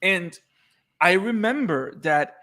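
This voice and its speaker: A man from Puerto Rico, a native Spanish speaker with a Puerto Rican accent, talking excitedly as a podcast co-host in casual chat. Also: sits high, slow pace. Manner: excited, repeats words when fired up.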